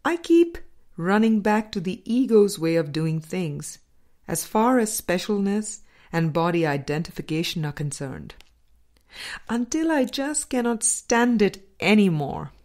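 Slow-paced woman reading an audiobook. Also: mid-range voice, big pitch swings, darkish tone. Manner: measured, deliberate phrasing with pauses. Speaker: audiobook reader. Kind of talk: scripted audiobook narration of a spiritual dialogue.

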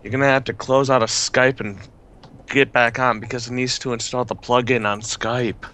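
A man's voice: mid-range voice, fairly even intonation, normal speed, bright, forceful tone. A man chatting casually with friends over a voice call while playing an online game. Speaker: player in an online horror game. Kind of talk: casual chat while gaming.

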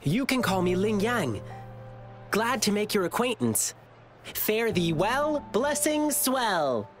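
A man's voice: high-pitched, very animated, slow pace, soft background music, heavily acted. An actor voicing a cheerful, eager young lion-eared boy performer in a video game, friendly and bubbly. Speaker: lion dance troupe performer.

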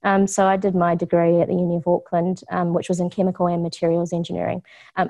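A woman's voice: lowish voice, dark tone. A middle-aged woman with a New Zealand accent, giving a casual community talk on food science with lots of ums, standing in an office kitchen. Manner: chatty, frequent ums, laughs easily.

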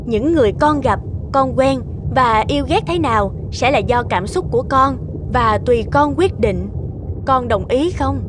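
A woman voicing a gracious, motherly sea goddess in a cartoon, with a stately, measured manner. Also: sits high, animated, background noise. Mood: caring, solemn. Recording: loud music under the voice.

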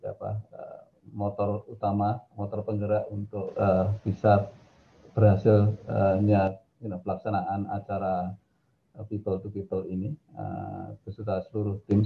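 Thin, phone-like video-call audio carries a middle-aged man with a Javanese accent. His voice is low and creaky. He lectures informally and conversationally. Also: a little hiss or hum. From Indonesia. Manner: conversational, mixes in English phrases. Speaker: guest lecturer, academic. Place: indoor office on a video call.

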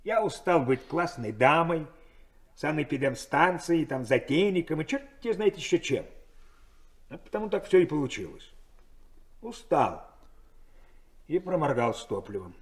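A bitter, tired ship's officer speaks bluntly in a fairly high, animated voice. He sounds touchy and fed up, as in a radio play. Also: unhurried, pauses often. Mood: bitter, weary. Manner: blunt, irritable. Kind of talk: radio drama acting.

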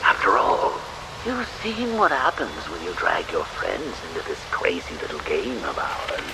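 An actor playing a gleeful, mocking villain clown in a video game, with theatrical taunting delivery and a gravelly voice. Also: male voice, low voice, huge pitch swings, measured pace, very noisy, exaggerated voice.

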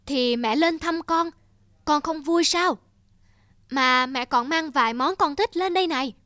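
A woman's voice: high voice, measured pace, bright, forceful tone. A woman playing a worried, loving middle-aged village mother in a cartoon drama, speaking plaintively and earnestly. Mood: tender. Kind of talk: animated moral drama.

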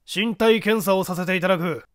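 A man's voice: sits high, fairly quick, no echo, studio quality, pitched above the performer's own voice, natural voice. A man playing a condescending, self-assured police detective with a pompous manner in an audio mystery story. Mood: scornful.

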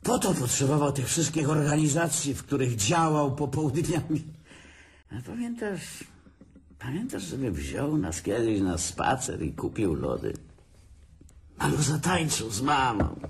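An actor playing a sarcastic, bitter, tipsy divorced man who needles his brother haltingly in a radio drama. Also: mid-range voice, sing-song, slight background noise. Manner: sarcastic, taunting, chuckling, halting. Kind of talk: dramatic radio play acting.

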